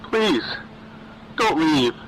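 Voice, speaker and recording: A man's voice: fairly high pitch, big pitch swings, extremely slow, a bit bright, a little hiss or hum, voice pushed up, heavily acted, rough recording. A man voicing a lovesick, clingy undead young man who pleads and stammers in a horror audiobook. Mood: begging.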